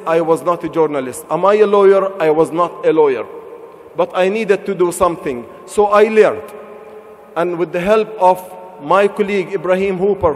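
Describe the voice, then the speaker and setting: A middle-aged man from Palestine giving an earnest convention speech from a podium, building emphasis with rhetorical questions. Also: high-pitched. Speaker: convention speaker. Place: large convention hall stage. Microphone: podium microphones. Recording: reverberant large hall.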